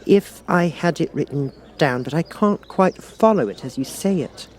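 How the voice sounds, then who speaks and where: A man plays a young storybook girl in a voice lifted well above a man's usual talk. She is polite and curious, musing earnestly with a lively, hesitant lilt. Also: fairly high pitch, normal speed, voice pushed up, lightly acted. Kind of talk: audiobook character voice.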